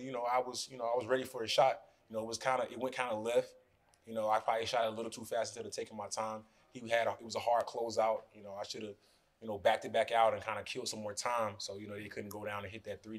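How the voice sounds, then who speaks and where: A young man in his twenties, a basketball player answering questions at a postgame press conference, speaking loosely with many "you know"s into podium mics. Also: fairly low pitch. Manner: relaxed, fills with "you know" often. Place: press room in front of a sponsor backdrop. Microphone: podium mics in front of him.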